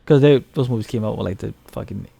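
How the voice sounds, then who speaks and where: Blunt, low-voiced man with vocal fry. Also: fast, mellow, dry recording. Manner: blunt, profane, joking. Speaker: podcast co-host reviewing films. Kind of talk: casual chat.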